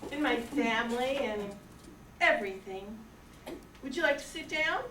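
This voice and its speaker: Distant, slightly noisy, actress as forthright young woman, coy, high-pitched, old-time radio drama. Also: lively intonation, moderate pace, a bit bright. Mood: polite, probing. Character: Mary Hatch, forthright, coy young small-town woman. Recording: echoey room, distant mic, hiss.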